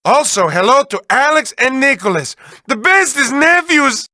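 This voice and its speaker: A man's voice: very high voice, sing-song, moderate pace, sharp tone, no echo, clean studio sound, heavily acted. A man voicing a manic, lovelorn, whiny mad-scientist villain in a video game, with theatrical, exaggerated delivery that swings between cooing and wailing. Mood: mock formal. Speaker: video game villain reading developer messages. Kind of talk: exaggerated comic cartoon voice acting.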